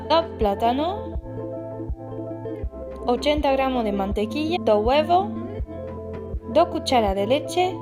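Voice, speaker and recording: A woman with a French accent reads out ingredients and steps in an even, instructive voice-over. Her voice sits on the higher side, and clear music plays beneath her. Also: takes it slowly, long pauses. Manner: steady step-by-step instructions. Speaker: baking vlogger. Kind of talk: recipe voice-over narration.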